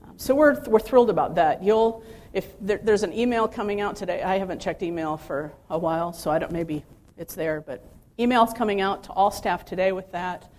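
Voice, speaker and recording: A woman's voice: mid-range voice, normal speed, a little mellow. Chatty woman in her fifties. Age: 50s. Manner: chatty, self-deprecating, many fillers and restarts. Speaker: speaker addressing ministry staff. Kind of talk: personal talk with scripture.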